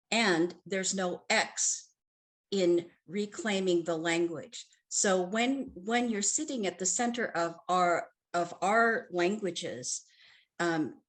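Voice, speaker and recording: A woman who grew up in the Philippines, moderating an online panel and answering a question in a reflective, measured way. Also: on the low side, fairly bright tone. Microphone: video call.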